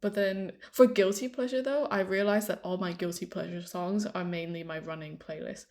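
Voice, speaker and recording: A woman's voice: fairly low pitch, fairly even intonation, moderate pace, a little mellow. A young woman from Hong Kong in casual podcast chat, explaining her thoughts at length. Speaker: podcast co-host. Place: London.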